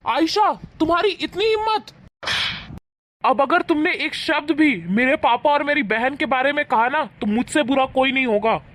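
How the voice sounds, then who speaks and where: A man voicing a devoted adult son, earnest and protective of his family, in an animated moral story. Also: extremely high pitch, moderate pace. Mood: angry, defiant.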